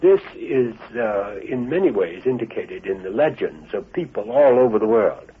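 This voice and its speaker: Lowish husky man, hesitant interview guest, lo-fi radio sound. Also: expressive, moderate pace. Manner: hesitant, many fillers and restarts. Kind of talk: unscripted interview answer. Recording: narrow lo-fi radio broadcast.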